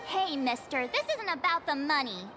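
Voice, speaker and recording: A woman's voice: high-pitched, animated, normal speed, over music. An actress voicing a cute, cheeky, kind-hearted young girl in a video game, with a perky, playfully dramatic manner. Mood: reluctant.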